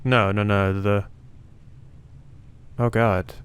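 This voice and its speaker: Clear-voiced man musing through a game. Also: lowish voice, level tone, extremely slow. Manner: musing, questioning himself. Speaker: let's play host. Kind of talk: casual gameplay commentary, thinking aloud.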